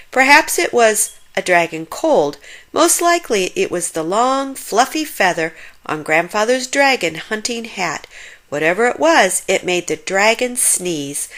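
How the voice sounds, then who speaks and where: A woman narrating a children's storybook aloud in an expressive, unhurried manner. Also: medium pitch.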